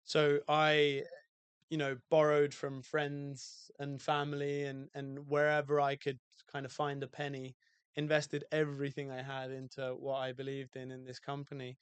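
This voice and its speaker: A man with a British accent talks through his story in a relaxed, reflective way, often pausing on fillers and restarting. His pitch stays flat and even, and his voice is smooth and clear in a dry room. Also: no room sound. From UK, outside London. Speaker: guest, hotel owner. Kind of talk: casual podcast interview.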